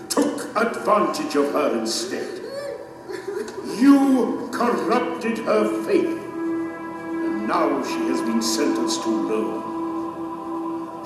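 A majestic, righteous king whose high male voice rings through a vast hall. He speaks slowly and gravely, declaiming like a judge in a solemn audio drama. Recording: cavernous echo, distant, music underneath.

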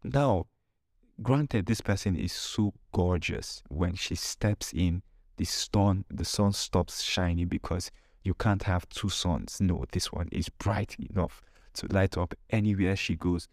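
Low-voiced man, emphatic podcast host. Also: slow, no echo, studio quality. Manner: emphatic, repeats phrases, rhetorical questions. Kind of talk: informal advice monologue with humour.

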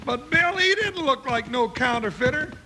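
An actor playing an excitable, talkative comic cowboy sidekick with an American Western drawl in an old-time radio western. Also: male voice, extremely high pitch, normal speed, full character voice. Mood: exasperated. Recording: noisy old radio recording.

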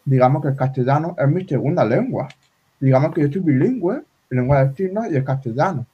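A man in his forties whose first language is sign language, talking in a casual interview with many halting restarts. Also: fairly high pitch, expressive, slightly rough. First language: Spanish Sign Language. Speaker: guest. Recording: slightly dull lo-fi sound.

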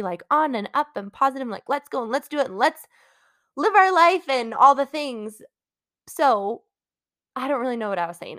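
Young adult woman, congested, solo podcast host; candid, rambling, encouraging delivery, slightly high pitch, dry close recording. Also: fairly bright tone, no echo. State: congested from a cold. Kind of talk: casual solo podcast talk.

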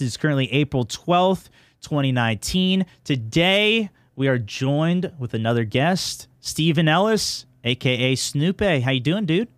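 A man's voice: mid-range voice, no room sound. Casual male gaming podcast host.

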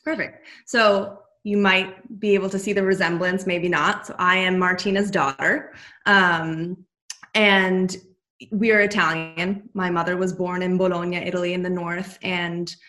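A woman's voice: fairly low pitch, flat delivery, unhurried, sharp tone. A woman in her thirties from New York, telling her story as an interview guest in a chatty, rambling way. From New York, USA. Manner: chatty, rambling, many "you know" and "like" fillers. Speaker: business co-owner.

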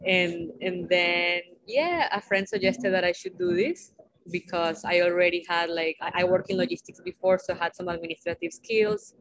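Venezuelan-accented woman guest, lowish voice, plain and direct answers. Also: big pitch swings, moderate pace, vocal fry, a bit bright, poor audio. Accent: Venezuelan Spanish. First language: Spanish. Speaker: guest, virtual assistant. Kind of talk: casual interview answers.

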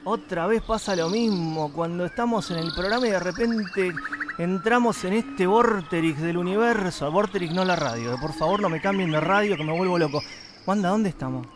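A man's voice: sits high, moderate pace, noisy background, lightly acted. A man with a Rioplatense Argentine accent playing a flustered, complaining radio host in a comic radio play, exclamatory and rambling. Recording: music behind.

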